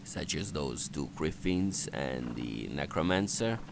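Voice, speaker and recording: Very deep, gravelly man giving game instructions. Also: expressive, slow pace. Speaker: video game walkthrough commentator. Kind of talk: instructional strategy game commentary. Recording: clearly noisy voice recording.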